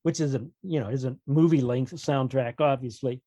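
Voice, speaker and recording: A man's voice: mid-range voice, moderate pace, dark tone, dry recording. A man talking casually as an author guest on a podcast, explaining his opinions with wry humour.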